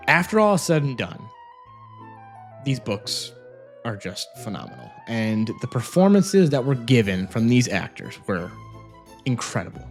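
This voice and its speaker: A man giving an enthusiastic, casual review as a vlog host, talking into a microphone in a home office. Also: medium pitch. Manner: enthusiastic, gushing. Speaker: audiobook review video host. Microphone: separate microphone with phone camera. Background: music.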